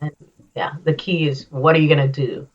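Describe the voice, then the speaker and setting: A woman's voice: deep voice, a little fast. A woman English coach talking casually and energetically as an interview guest. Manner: energetic, action-focused, animated when acting out scenes. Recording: slightly dull, lo-fi sound.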